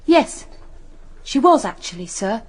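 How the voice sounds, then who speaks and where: Deferential, high-voiced companion. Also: female voice, sing-song, a little slow, breathy edge, full character voice. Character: deferential, uneasy English lady's companion. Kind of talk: period radio drama acting.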